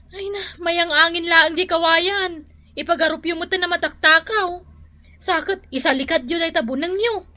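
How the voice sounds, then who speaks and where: High-voiced, exasperated Ilocano daughter. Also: female voice, normal speed, bright, forceful tone. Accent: Filipino, Ilocano. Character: exasperated grown daughter, chiding yet dutiful. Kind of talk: radio drama acting.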